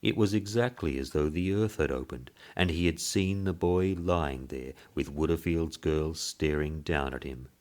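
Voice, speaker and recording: A man narrating a literary short story in a measured, deliberate way. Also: extremely low pitch, darkish tone. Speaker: story reader.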